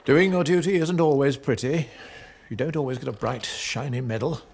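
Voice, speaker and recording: A man's voice: medium pitch, moderate pace, gravelly, a little mellow, slight background noise, close to an everyday voice. An actor playing a pompous, evasive British father and town leader, theatrical and avuncular, in a video game cutscene. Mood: stern, persuading.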